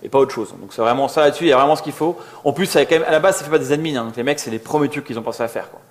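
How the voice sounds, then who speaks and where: A man's voice: mid-range voice, rapid-fire. A man speaking casually and with self-deprecating slang as a conference speaker answering audience questions. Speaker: conference speaker, software developer. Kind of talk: informal conference Q&A.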